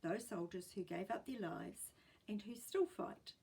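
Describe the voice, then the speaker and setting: A woman with a New Zealand accent reading a children's story aloud in an expressive storytelling style. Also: medium pitch, unhurried, vocal fry, slightly rough.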